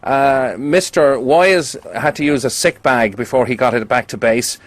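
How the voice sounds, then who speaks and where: Guy doing a deadpan irish taxi official on a prank call, officious, mock formal, bit hesitant. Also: medium pitch, unhurried, natural voice. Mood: officious, grave. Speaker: radio prank caller.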